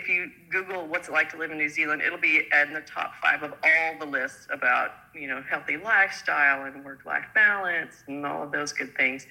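Chatty American woman, bright forceful voice. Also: low-pitched, rough recording. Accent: Oklahoma. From United States. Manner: chatty, laughing often, quick asides. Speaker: guest, physician assistant. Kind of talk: casual interview talk.

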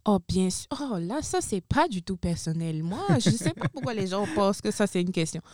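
Mellow-voiced woman from Benin, chatty. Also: mid-range voice, expressive, moderate pace, no room sound, clean studio sound. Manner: chatty, frequent hesitations and self-corrections. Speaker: guest, university international student services supervisor. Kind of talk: casual radio interview.

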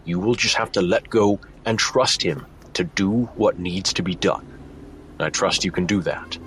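A man playing a calm, grave, encouraging mentor in an audio drama, speaking formally and with measure. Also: low voice, measured pace, a little hiss or hum.

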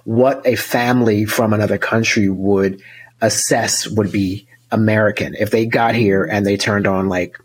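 Husky-voiced male interviewer. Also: lowish voice, fairly even intonation, moderate pace. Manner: friendly, hesitant questions with fillers. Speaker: interviewer, host. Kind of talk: interview conversation.

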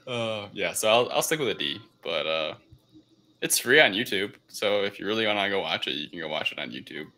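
Podcast guy, bright forward voice, just chatting casually, slightly low. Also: animated, a little fast. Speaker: podcast co-host. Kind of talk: casual chat.

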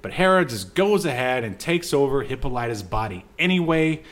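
A man co-hosting a podcast, casually recapping a movie scene by scene, haltingly reading from notes with joking asides. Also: mid-range voice, sing-song, fairly bright tone. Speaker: podcast co-host.

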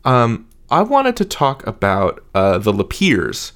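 A man's voice: medium pitch, huge pitch swings, slow pace, a bit bright, clean tone. Male interview host. Medium register, conversational yet articulate, lays out questions at length. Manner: articulate, wordy, builds up long questions.

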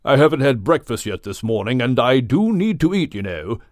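A genial whale of the old British gentlemanly sort, acted by a male audiobook reader. The speech is courteous and old-fashioned, with animated swings in pitch. The sound is dry and storybook-clear. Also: moderate pace, no echo, natural voice. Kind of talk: audiobook character acting.

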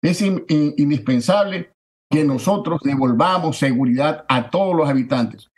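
A man, a political candidate giving forceful, declamatory campaign answers that stack repeated phrases for emphasis. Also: on the high side, moderate pace. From Ecuador.

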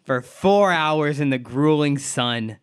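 A man's voice: a little high, lively intonation, slow, clean tone. A young man co-hosting a podcast, speaking in a dry, understated way with wry comebacks. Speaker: podcast co-host. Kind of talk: casual podcast banter.

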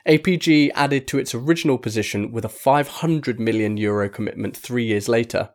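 A man reading a magazine article aloud as scripted narration, in a measured, formal delivery. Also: medium pitch. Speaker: article reader.

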